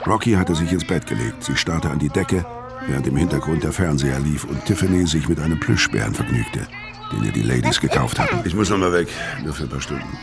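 An actor playing a weary hard-boiled killer, narrating a radio crime drama tersely and matter-of-factly. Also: male voice, rumbling low, expressive, a little fast. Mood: subdued. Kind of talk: scripted first-person noir narration in a radio play. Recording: music under the voice.

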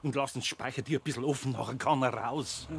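A man's voice: mid-range voice, steady tone, fast, gravelly, slight background noise, natural voice. An actor playing a worried, good-hearted older craftsman with a Bavarian accent, grumbling and flustered in a radio play. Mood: indignant. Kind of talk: German children's radio play acting.

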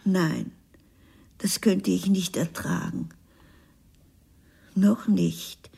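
Radio drama role: resolute wife, breathy and creaky, measured delivery, tender yet firm. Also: female voice, mid-range voice, fairly even intonation, warm tone, exaggerated voice. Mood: quietly determined. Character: resolute, tender wife who fears poverty.

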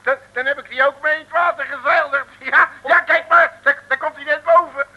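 An actor playing a brash, excitable, slangy detective with a blustery, stammering manner in a 1950s comic radio serial. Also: male voice, very high-pitched, moderate pace, lo-fi sound, heavily acted. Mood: busy, excited. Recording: old noisy radio recording.

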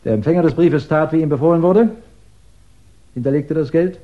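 A man's voice: a little high, highs cut off, close to an everyday voice. An actor playing a methodical, courteous police investigator who lays out his case insistently in a 1960s German radio crime drama. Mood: methodical, assured. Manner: measured, insistent, explanatory, courteous.

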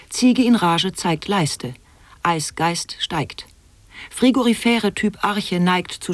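An actress performing a solemn, deliberate monologue of absurd wordplay in a radio play, measured, incantatory and precisely articulated. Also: female voice, fairly low pitch, no echo. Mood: declaiming. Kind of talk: avant-garde radio play recitation of sound poetry.

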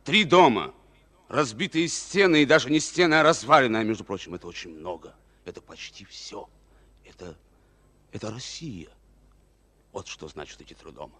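A resolute Soviet battalion captain in an old radio drama. His voice is medium in pitch, bright and forceful. He declaims with earnest emphasis, moving between hushed gravity and ringing command. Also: expressive, moderate pace. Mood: rousing, fervent, then grave, intense. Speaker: actor. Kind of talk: mid-century Soviet radio stage acting.

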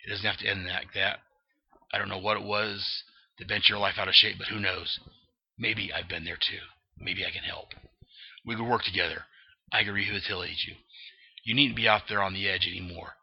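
A man's voice: deep voice, steady tone, fairly quick, creaky, breathy edge, exaggerated voice. A man reading a weary, earnest vigilante. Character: weary grim male vigilante pleading. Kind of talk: reading comic dialogue aloud. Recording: dull lo-fi dry recording.

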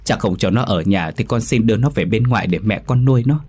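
A man's voice: lowish voice, lively intonation, fast talker, darkish tone, husky, soft background music. A man voicing a timid young daughter-in-law in a story, tearful and submissively pleading. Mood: begging. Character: timid, frightened young daughter-in-law begging for her child.

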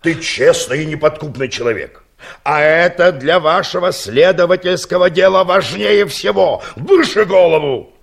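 An actor playing a feisty, boastful, bossy old engineer of sixty-two in an audio play, speaking emphatically. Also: male voice, a little high, moderate pace, gravelly. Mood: bossy, scheming. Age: older. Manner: emphatic, domineering, theatrical. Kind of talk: Soviet audio play acting.

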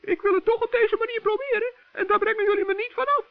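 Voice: extremely high pitch, fairly even intonation, fast, creaky, no room sound. An actor playing a kind, trusting, earnest little male gnome in a children's radio play. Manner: earnest, good-natured, determined. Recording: muffled old narrow-band radio recording.

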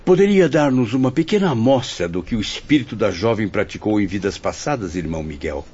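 An actor playing an inquisitive, courteous and earnest student spirit in a radio drama. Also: male voice, fairly low pitch, animated, fairly quick, a little mellow, breathy edge. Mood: curious. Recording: dull lo-fi audio, music behind. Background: music.

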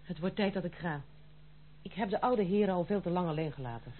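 An actress playing a dutiful, stern but kind granddaughter in an old Dutch radio play, speaking formally and gravely. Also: female voice, fairly low pitch, brisk, natural voice. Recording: muffled phone-like old radio recording, faint hum.

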